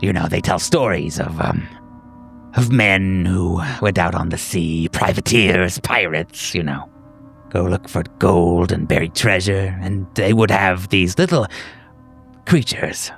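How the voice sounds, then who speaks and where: A man playing a sinister, whimsical doctor in a horror role-playing game, gravelly and husky, rambling haltingly with theatrical politeness. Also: deep voice, a bit bright, faint music behind, heavily acted. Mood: musing, menacing. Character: sinister, whimsical male doctor, musing and menacing. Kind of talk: improvised horror role-play.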